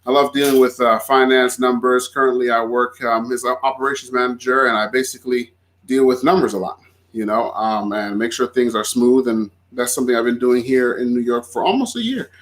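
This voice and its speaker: A man in his thirties, a guest from Florida, talks in an easygoing, chatty way and laughs easily. His voice sits at an ordinary middle pitch. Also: fairly quick, fairly bright tone. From Florida, USA. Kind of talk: casual conversation.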